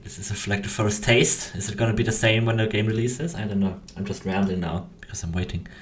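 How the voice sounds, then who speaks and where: A man's voice: fairly low pitch, fairly even intonation, fairly quick, a little hiss or hum. A young man of about twenty with an Austrian German accent, chatting casually and hesitantly on a livestream, rambling and interrupting himself. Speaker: game developer hosting a livestream. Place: indoors at a computer desk.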